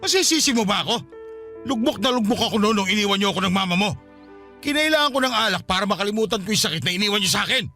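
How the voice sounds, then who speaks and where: Blustery pinoy dad in radio drama, short fused, swings up and down, bright voice. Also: male voice, high-pitched, lively intonation, racing, faint music behind, exaggerated voice. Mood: angry, defensive. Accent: Filipino. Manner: blustering, indignant. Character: short-tempered, defensive drinking father of a grown family. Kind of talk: Filipino radio drama acting.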